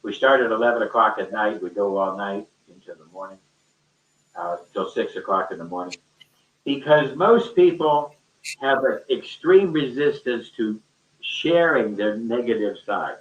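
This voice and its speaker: A man's voice: fairly low pitch, lively intonation, moderate pace, fairly bright tone. A man speaking off the cuff in a rambling, colloquial and emphatic way, as a teacher in an online discussion. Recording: dull, echoey, distant-microphone call audio.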